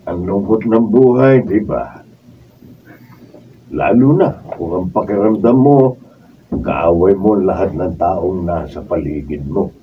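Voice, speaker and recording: A man's voice: deep voice, takes it slowly, long pauses, narrow, tinny audio. A man playing a wise, consoling elderly brother in a radio drama, earnest and philosophical, with a Filipino accent. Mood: gentle, grave. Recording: distant, phone-thin, noisy room recording.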